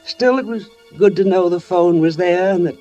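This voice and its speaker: An actress playing a kindly, wary older housewife and mother in a 1940s radio suspense drama, speaking gently and carefully. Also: fairly low pitch, normal speed, faint music behind, lightly acted. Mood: uneasy narration. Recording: muffled old radio broadcast recording.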